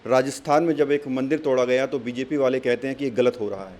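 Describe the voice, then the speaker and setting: A man's voice: medium pitch, fairly even intonation, fast talker, a little mellow. A man working as a news reporter, putting probing questions and counterpoints in an interview.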